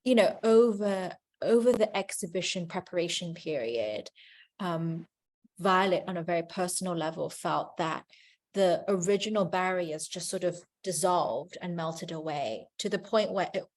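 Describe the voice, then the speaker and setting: A woman moderating an online artist conversation and interpreting for the speakers, fluent and measured with frequent ums. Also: fairly low pitch, darkish tone. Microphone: video call.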